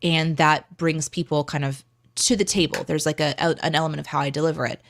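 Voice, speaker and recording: A woman's voice: low-pitched, steady tone, brisk. A woman co-hosting a live show, chatting casually in an encouraging, chatty way. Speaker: co-host of a live stream and podcast. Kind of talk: casual livestream chat.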